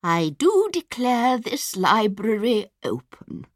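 Sing-song 99-year-old headmistress. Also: male voice, high-pitched, huge pitch swings, slow, no echo, clean studio sound, voice pushed up, full character voice. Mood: doddery, stately. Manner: rambling, forgetful. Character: dozy 99-year-old headmistress, sweet and muddled. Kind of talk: storybook character voice.

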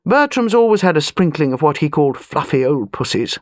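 Expressive British woman narrating fiction. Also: low voice, fairly quick, no room sound, studio quality. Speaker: audiobook narrator. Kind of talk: scripted literary narration.

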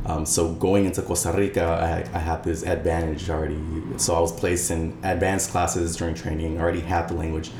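Deep-voiced young man in an echoey room. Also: level tone, a little fast, few pauses, a little mellow. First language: Spanish. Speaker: interview guest, Peace Corps volunteer. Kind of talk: conversational interview answers. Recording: echoey room with clear background noise.

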